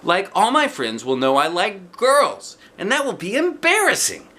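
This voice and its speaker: A bashful young schoolboy, played by a man, with a raised, bright voice. He sounds earnest and self-conscious, a storybook kid who embarrasses easily. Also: sits high, wildly swooping pitch, a little slow, voice pushed up, exaggerated voice. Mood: embarrassed, pleading. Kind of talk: children's storybook read-aloud.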